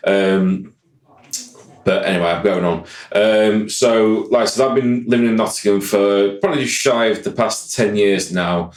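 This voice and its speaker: A man's voice: lowish voice, normal speed, roomy sound. A man, a guest musician rambling in casual podcast chat and repeating "like I said". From Yorkshire, England. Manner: rambling, keeps saying "like I said".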